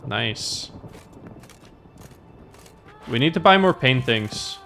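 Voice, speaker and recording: Slow-paced male game streamer thinking aloud. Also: medium pitch, expressive, sharp tone. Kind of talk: casual gameplay commentary. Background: faint game music.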